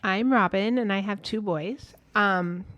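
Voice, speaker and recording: A woman's voice: mid-range voice, measured pace, a bit bright, highs cut off. A woman in her late thirties telling stories in casual chat, acting out what other people said. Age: late 30s. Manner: chatty storyteller acting out quoted dialogue. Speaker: guest.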